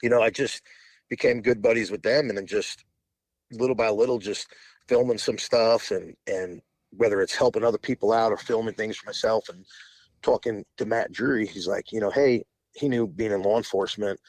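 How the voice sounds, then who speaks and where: A man's voice: fairly low pitch, steady tone, moderate pace, darkish tone, no echo. A man in his forties, a podcast guest telling long stories in a rambling, casual way with frequent restarts. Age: 40s. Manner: rambling, restarts often, laughs between phrases. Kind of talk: casual interview storytelling.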